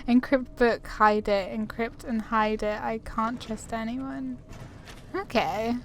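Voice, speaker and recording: A woman's voice: a little high, fairly even intonation, slow. A woman giving casual, chatty commentary on a game playthrough, wandering off on tangents and reading dialogue aloud. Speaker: gaming streamer. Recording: faint background noise.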